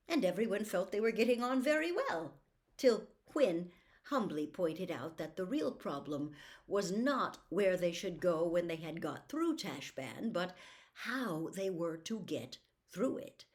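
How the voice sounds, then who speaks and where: Woman reading a classic story expressively. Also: lowish voice. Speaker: audiobook reader.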